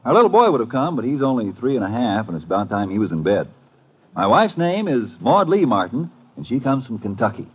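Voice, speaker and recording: An old, muffled radio recording of an American man pitching as a commercial announcer. His voice is husky and swoops energetically. The delivery is folksy 1950s salesmanship. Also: very animated, a little fast.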